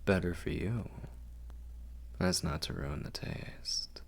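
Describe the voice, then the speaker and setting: A man's voice: sits low, takes it slowly, vocal fry, full character voice. A man playing an ancient, possessive and doting vampire lord in an intimate ASMR roleplay, murmuring formally and drifting into whispers. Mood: hungry, coaxing.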